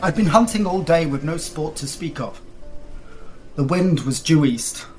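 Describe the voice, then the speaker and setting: A man's voice: fairly high pitch, animated, a little slow, soft background music. An actor playing a distressed, insistent young huntsman in a period Gothic audio drama, speaking in formal old-fashioned diction. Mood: grave, weary. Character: distressed lost huntsman recounting his ordeal, insistent young husband.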